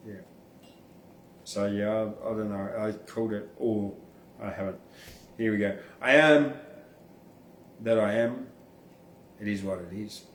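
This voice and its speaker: A man performs spoken verse in a slow, deliberate way. His manner is informal and blunt, dropping into casual asides between lines. The sound is roomy, with a noticeable echo around the voice. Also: on the low side, fairly even intonation, distant mic, slight background noise. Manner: informal, blunt, freely swearing. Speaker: podcast host and poet reading own verse. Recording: roomy, echoing room sound.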